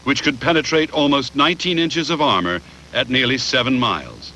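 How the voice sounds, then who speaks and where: A man reads scripted documentary narration in a measured, factual way. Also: mid-range voice, expressive, sharp tone, a little hiss or hum, poor audio.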